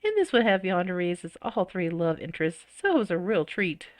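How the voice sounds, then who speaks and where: A woman reading a visual novel aloud as a let's-player, expressive and dramatic with reactive asides. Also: fairly low pitch, fairly quick, no room sound. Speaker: video game let's-player. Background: game music.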